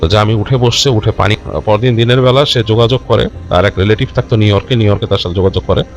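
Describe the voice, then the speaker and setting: A man telling a horror story informally and unscripted, in a halting, conversational way with repeated phrases. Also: lowish voice, fairly even intonation. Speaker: storyteller of a ghost story show. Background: background music.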